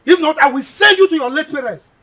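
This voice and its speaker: An actor playing a protective uncle who scolds loudly and stammers when angry. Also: male voice, very high voice, fast, dry recording, full character voice. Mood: mocking, angry.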